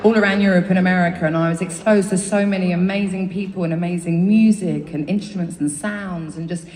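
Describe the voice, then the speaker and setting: A woman with a London accent, her voice low and full, chats loosely with a concert audience, swearing freely and laughing at herself. She is captured from a distance in a huge arena, so long reverb washes over her words. Crowd noise sits clearly in the background. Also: steady tone, brisk, darkish tone. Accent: London English. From England. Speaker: singer on stage. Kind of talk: chatty stage banter between songs. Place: large concert venue. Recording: live concert audio, echoey arena from far off with crowd.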